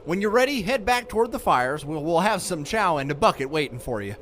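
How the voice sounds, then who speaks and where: An actor playing a kindly, rambling survivor in an audio drama, stammering and repeating himself in a folksy way. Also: male voice, a little high, big pitch swings, brisk, fairly bright tone, slight background noise. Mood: consoling, earnest. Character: gruff but kindly rambling male crash survivor.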